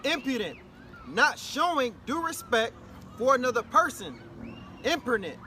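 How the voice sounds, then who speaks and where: A man's voice: very high voice, very animated, measured pace, bright, forceful tone. A man reading scripture and definitions aloud as the reader for a preacher. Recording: outdoor recording with traffic noise.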